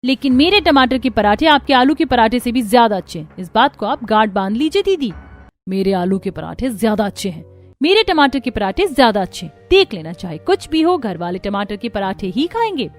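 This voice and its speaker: A woman voicing a proud, jealous young daughter-in-law in an animated family story, arguing emphatically. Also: on the high side, a little fast, faint music behind. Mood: competitive, defiant. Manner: emphatic, argumentative.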